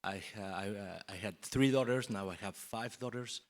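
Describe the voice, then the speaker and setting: A man's voice: fairly low pitch, moderate pace. A man in his forties from Mexico, with a Mexican accent, speaking earnestly as a panelist. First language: Spanish. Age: 40s. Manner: earnest, with repetitions.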